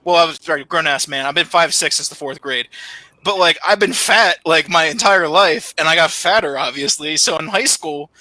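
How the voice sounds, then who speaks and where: A man's voice: a little high, moderate pace, sharp tone, no room sound. A young man hosting a casual podcast chat, telling stories animatedly and laughing often. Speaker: podcast host.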